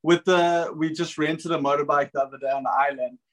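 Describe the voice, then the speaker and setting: A man's voice: a little high, steady tone, fairly quick, fairly bright tone. A man adding to a casual chat, chiming in briefly. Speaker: guest.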